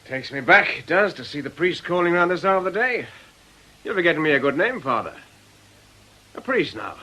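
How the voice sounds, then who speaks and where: An actor playing a chatty, affable but wary club owner in a radio drama, with a teasing, colloquial manner. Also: male voice, fairly high pitch, sing-song, normal speed, bright, forceful tone, a little hiss or hum. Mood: genial, teasing. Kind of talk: British radio drama acting.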